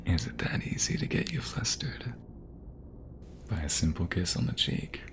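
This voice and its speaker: A man's voice: low voice, sing-song, normal speed, gravelly, exaggerated voice. A man playing a young romantic mobster, protective and teasing, in an intimate ASMR audio roleplay. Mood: tender, teasing.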